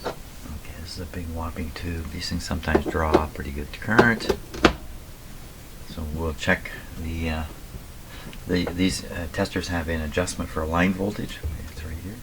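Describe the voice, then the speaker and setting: Very low, slightly hoarse, unhurried man. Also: steady tone. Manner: muttering to himself, reading out settings slowly and deliberately. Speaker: radio repairer and video host. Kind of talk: casual running commentary while working. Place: radio repair workshop at a bench. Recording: clearly noisy workshop recording with clicks.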